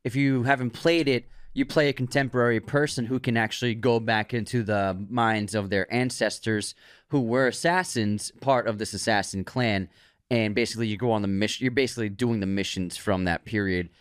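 A man's voice: lowish voice, brisk, smooth, dry recording, studio quality. A man co-hosting a podcast about movies in a casual chat, explaining game stories with enthusiasm and agreeing often. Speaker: podcast co-host.

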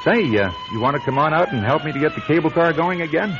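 An actor playing a cocky amateur sleuth in a radio drama, explaining things in a breezy, self-satisfied way. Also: male voice, a little high, expressive, fast. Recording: dull radio recording with noisy ambience.

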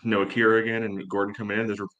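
A man co-hosting a casual sports analysis chat in a halting way, leaning heavily on "you know". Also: on the low side, little pitch movement, fairly bright tone, highs cut off. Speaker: podcast co-host and game analyst.

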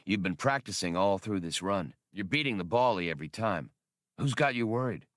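A man's voice: on the low side, lively intonation, brisk, fairly bright tone, no echo, studio quality. A man voicing a seasoned male adventurer, a wry old comrade with a confiding, slangy manner. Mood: probing, wry.

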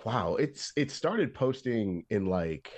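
A man's voice: lowish voice, very animated, slow pace, darkish tone. A young man chatting as a podcast guest, rambling with restarts and upbeat energy. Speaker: podcast guest, comic artist and animator. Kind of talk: casual chat.

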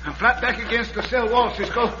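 A man's voice: very high voice, steady tone, a little fast. An actor playing a plain-spoken, worried accused man in a radio western, talking hesitantly. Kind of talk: 1950s radio western acting. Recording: muffled, noisy old radio recording.

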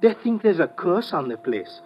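A man's voice: high-pitched, very animated, normal speed, lo-fi sound. An actor playing a superstitious, easygoing island boatman in an old-time radio drama, speaking singsong simple English. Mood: uneasy, reluctant. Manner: singsong simple English, calls himself by name. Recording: noisy old radio recording.

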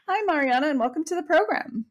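A woman hosting a book interview podcast, friendly and a little halting. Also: high voice, animated. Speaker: podcast host.

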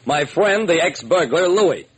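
Animated wry radio detective. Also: male voice, fairly high pitch, husky, full character voice. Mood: wry, brisk. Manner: wry, brisk storytelling. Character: shrewd, wry private detective narrating his own case. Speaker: narrator and lead character. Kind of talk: old-time radio detective drama acting. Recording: slightly noisy old radio recording.

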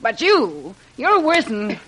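A woman's voice: fairly high pitch, wildly swooping pitch, slow pace, fairly bright tone, husky, heavily acted, low-quality recording. An actress playing a tough, sardonic, wisecracking woman spy in a slangy, sarcastic style of 1940s radio adventure drama. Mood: irritated, sarcastic.

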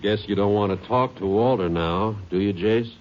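Low-voiced folksy small-town sheriff man acting. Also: normal speed, natural voice. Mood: puzzled. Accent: American. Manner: folksy, clipped, conversational. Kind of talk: 1950s radio drama acting. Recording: dull old radio recording with noise.

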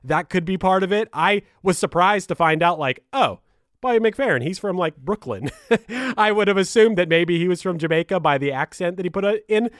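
A man co-hosting a casual podcast, animated, swearing and laughing as he talks. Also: sits high, fairly quick, no echo, studio quality. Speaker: podcast co-host. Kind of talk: casual chat.

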